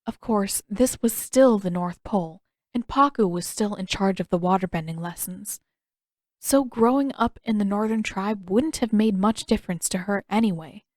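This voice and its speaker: A woman's voice: mid-range voice, normal speed, no echo. A woman reading scripted audiobook narration as the narrator of a story. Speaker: narrator, fan fiction author reading own work.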